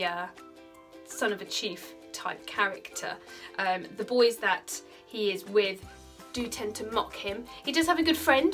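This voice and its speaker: A woman with a slightly high voice speaks as a primary school teacher at story time. Her delivery is expressive and emphatic, pitched for young listeners. Faint music plays behind her. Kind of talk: expressive children's storybook reading.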